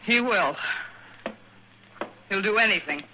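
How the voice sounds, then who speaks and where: Sharp-toned, defiant American saloon girl. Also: mid-range voice, animated, takes it slowly, poor audio. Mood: fearful. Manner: bitter, resolute. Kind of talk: 1950s radio western acting. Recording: old radio with faint noise.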